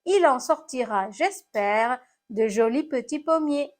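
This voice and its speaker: Woman voicing a high, plaintive storybook apple. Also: lively intonation, slow pace. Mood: resigned, generous. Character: battered apple, plaintive then gently resigned and generous.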